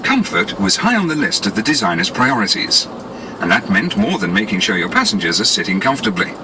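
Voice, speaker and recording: A man's voice: lowish voice, big pitch swings, a little fast, noisy background. A British man reading scripted 1980s promotional narration in a polished, explanatory salesman's manner, on a cassette tape. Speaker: advertising narrator. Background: music.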